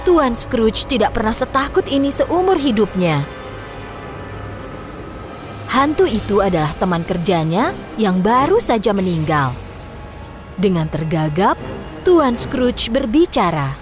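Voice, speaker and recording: A woman's voice: medium pitch, very animated, unhurried, long pauses, music in the background. A woman reading scripted narration for a children's story as the narrator.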